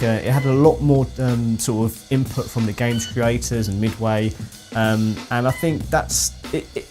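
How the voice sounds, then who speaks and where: Guy doing a casual gaming vlog, kinda rambly, mid pitched voice, guitar music playing behind him. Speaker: video game channel host. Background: background music with guitar.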